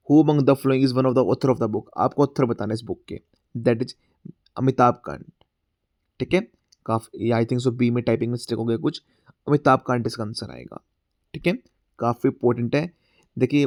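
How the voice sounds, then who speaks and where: A male current affairs teacher with a sore throat, going through exam quiz questions. He mixes Hindi and English, often says "theek hai", and reads the English question text slowly. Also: medium pitch, darkish tone, no room sound, studio quality. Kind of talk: exam-prep class, explaining quiz questions.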